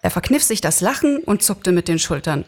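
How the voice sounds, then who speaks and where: Slightly low, husky woman storyteller. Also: sing-song, a little fast, darkish tone. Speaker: author and narrator, actress. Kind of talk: scripted story narration, live reading. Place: small theatre, live recording.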